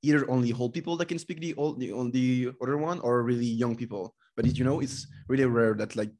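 A French man speaking English with a French accent in casual chat, relaxed and hesitant, laughing often. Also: mid-range voice, fast.

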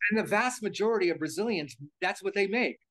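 A man's voice: high-pitched, lively intonation, fast, fairly bright tone. An older American man being interviewed, talking casually and rambling, repeating words and restarting sentences as he goes. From United States.

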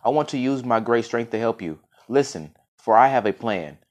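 A man voicing a gentle, wise talking ox in a folk tale, calm, earnest and reassuring. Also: medium pitch, normal speed, close to an everyday voice. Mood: earnest, kind. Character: gentle, wise, loyal talking ox, great and strong.

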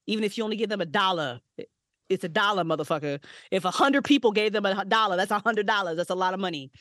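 A woman's voice: medium pitch, quick pace, fairly bright tone, no room sound, clean studio sound. A woman guest on a podcast, chatting casually and animatedly, swearing freely and laughing often, recorded in a home booth. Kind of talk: casual chat.

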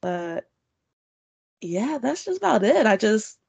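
A woman's voice: fairly high pitch, very animated, slow, creaky, darkish tone, breathy edge. Stuffy-voiced woman hosting a podcast. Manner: casual, swears freely. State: stuffy from allergies. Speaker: podcast host. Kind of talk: casual podcast chat.

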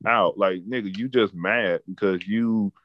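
A man's voice: lowish voice, measured pace, no echo, lo-fi sound. A man in his twenties chatting casually, rambling and profane, often repeating words as he starts a thought. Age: 20s. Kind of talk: casual chat.

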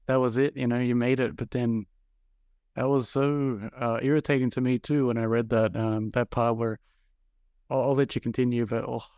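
Smooth-voiced man, rambling host. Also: medium pitch, flat delivery, moderate pace, a little mellow, no room sound. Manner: rambling, heavy with fillers. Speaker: podcast host. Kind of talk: casual interview.

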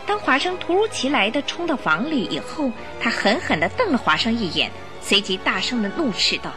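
A woman's voice: a little high, very animated, fairly quick. A woman reading dramatic scripted narration for a radio play. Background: music.

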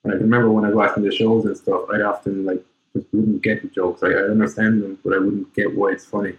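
A man hosting a casual interview, talking in a relaxed, loose way with lots of fillers. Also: on the low side, fast talker, rough recording. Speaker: podcast host.

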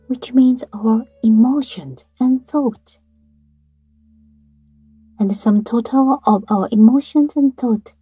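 A woman guiding a breathing meditation in a slow, soft voice that often drops to a whisper. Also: on the high side, breathy edge, no echo. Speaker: meditation guide. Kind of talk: guided meditation narration. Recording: soft guitar music behind.

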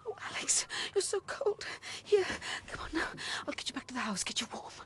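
English mum, highish and very expressive, anxious yet tender, radio horror play. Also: female voice, a little fast, slight background noise. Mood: distraught, tender. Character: anxious, tender young mother soothing her children. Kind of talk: British radio horror drama acting.

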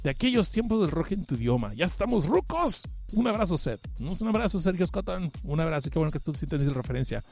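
Higher-voiced Mexican man with a cold. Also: sing-song, quick pace, hardly any pauses, darkish tone, faint music behind. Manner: informal, slangy, self-deprecating. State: has a cough and cold. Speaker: podcast host. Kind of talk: casual live stream chat, reading listener comments.

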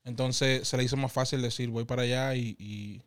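A man in his thirties from the Dominican Republic with a Dominican accent, telling stories as a guest in a casual interview, animated and quick to laugh. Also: medium pitch. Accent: Dominican Spanish. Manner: animated, laughs often, quotes others in lively voices.

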